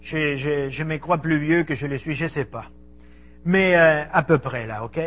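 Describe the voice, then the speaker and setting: A man's voice: a little high, measured pace, muffled recording, soft background music. A middle-aged man from Argentina, a missionary preacher giving an earnest, conversational sermon in a church hall and speaking to the audience directly. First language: Spanish. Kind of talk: sermon to a congregation.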